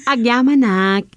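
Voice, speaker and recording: Brief: gracious governor's wife voiced by a woman, lively pitch, dry close sound, radio drama. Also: no room sound, full character voice. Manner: gracious, commanding staff.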